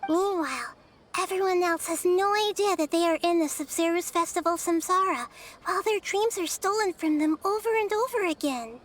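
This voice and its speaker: Very high, girlish little wisdom goddess. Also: a little hiss or hum. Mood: patient, serious. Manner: measured, explanatory. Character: small girlish wise young goddess, gentle, patient. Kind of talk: video game character acting.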